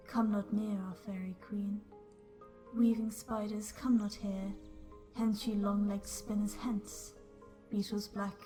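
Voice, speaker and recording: Forest fairy, female actor: protective, soothing lullaby verse, slow level chant, music behind. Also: mid-range voice, close to an everyday voice.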